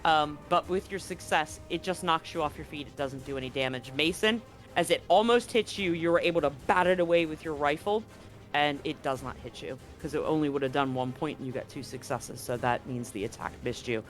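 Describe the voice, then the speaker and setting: A man with a fairly high voice acts as game master for a tabletop roleplaying session. He talks casually and swears freely, with music playing faintly behind him. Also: fairly quick, a bit bright.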